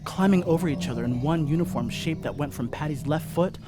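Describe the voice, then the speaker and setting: An actor playing a rattled, wry slacker who rambles through a confession in a horror comedy radio play. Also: male voice, a little high, brisk, over music, natural voice. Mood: uneasy, wry.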